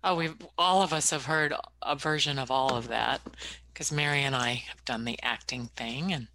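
A woman hosting a podcast interview, chatty and open, who brings her own stories into the talk. Her voice is low, but her tone is crisp and bright. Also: moderate pace, low-quality recording. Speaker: podcast host.

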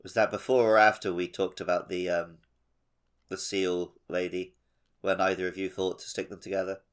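A man's voice: deep voice, flat delivery, moderate pace. A man co-hosting a podcast, chatting casually and jokily about video games. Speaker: podcast co-host.

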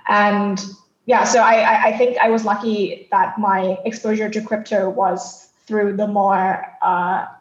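The sound is echoey and somewhat dull. A woman from Thailand talks at a medium pitch as an interview guest. She explains things conversationally and reflectively, with a halting flow. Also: little pitch movement. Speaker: podcast guest.

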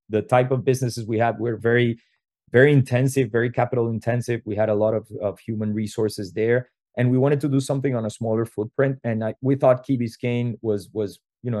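A man's voice: fairly low pitch, a little mellow, no echo. Venezuelan man, dry podcast sound. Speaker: interview guest, restaurant co-owner. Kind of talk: conversational storytelling.

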